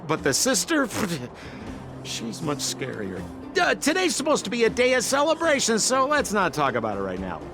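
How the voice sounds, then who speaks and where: An actor voicing a sly, smooth-talking young rogue guide in a video game, with theatrical, wheedling patter. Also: male voice, high-pitched, full character voice. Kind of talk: anime-style game voice acting.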